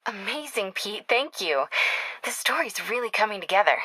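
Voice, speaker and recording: A woman's voice: medium pitch, sing-song, normal speed, pushed and bright, slightly rough, dry recording, full character voice, rough recording. An actress playing a driven, caring young woman reporter in a video game, speaking in an earnest, conversational way. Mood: pleased, encouraging. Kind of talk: video game voice acting, natural phone-call dialogue.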